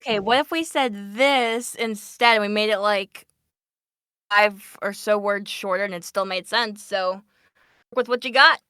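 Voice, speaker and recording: A woman's voice: mid-range voice, unhurried, pushed and bright, clean tone, dry recording, studio quality. A woman chatting casually as a panelist about a production she worked on. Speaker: panelist discussing a fan dub production. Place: online stream call.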